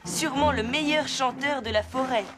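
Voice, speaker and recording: A woman's voice: sits high, moderate pace, lightly acted. A woman voicing a clever, kind young boy hero in a cartoon, earnest and persuasive, with music playing. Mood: pleased, sly. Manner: clear, earnest, coaxing. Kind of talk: animated cartoon acting. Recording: loud music under the voice.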